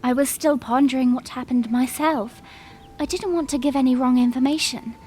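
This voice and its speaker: A woman's voice: high-pitched, normal speed, a little mellow, slight background noise, natural voice. A woman playing an earnest, dutiful young princess with formal phrasing in a fan audio drama. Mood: earnest, pondering.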